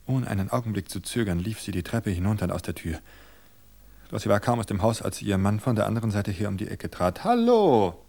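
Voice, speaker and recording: A man reading a suspense story aloud in a measured, tense narration. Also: fairly low pitch, steady tone, creaky, a little mellow.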